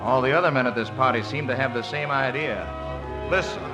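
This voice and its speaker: A man playing a suave, showy gentleman with theatrical flourish in a 1950s radio musical. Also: mid-range voice, fairly quick, natural voice. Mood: amused. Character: suave, showy gentleman presenting ladies' fashions. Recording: old radio broadcast with loud music.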